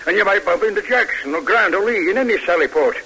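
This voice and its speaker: An actor playing a gruff but fond army colonel and father in a 1950s radio drama, speaking in a halting, old-fashioned, formal way. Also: high voice, animated, pushed and bright, heavily acted, poor audio. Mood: wistful, musing. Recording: hissy vintage radio recording.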